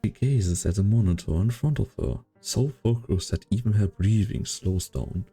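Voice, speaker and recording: A man playing a grave, brooding storyteller, narrating a dramatic fan dub in a gravelly, husky voice. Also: lowish voice, animated, normal speed, mellow, faint music behind.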